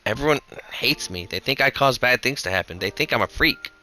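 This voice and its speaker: A man voicing a despairing young outcast girl who speaks quietly and hopelessly. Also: medium pitch, expressive, fairly quick, rough recording.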